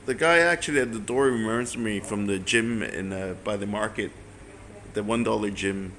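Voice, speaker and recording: A man from Hong Kong chatting candidly as a travel vlogger, rambling and excitable. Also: lowish voice, normal speed. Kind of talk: casual vlog narration and chat. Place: bus stop area outdoors. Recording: street noise behind.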